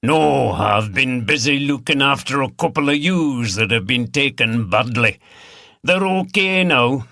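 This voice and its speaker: A gruff old hill farmer from a storybook, as a male narrator puts him on. His Yorkshire speech is broad, plain and grumbling. The tone is bright and forceful, with a very dry, close sound. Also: mid-range voice, lively intonation, unhurried, dry recording, voice pushed up. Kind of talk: audiobook character dialogue.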